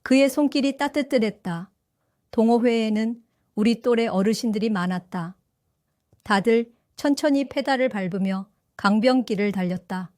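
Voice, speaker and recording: A woman in her seventies narrating her own story for an audio drama, in a gentle, reflective and wistful way. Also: on the high side, normal speed, no room sound, studio quality. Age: 70s. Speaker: first-person narrator.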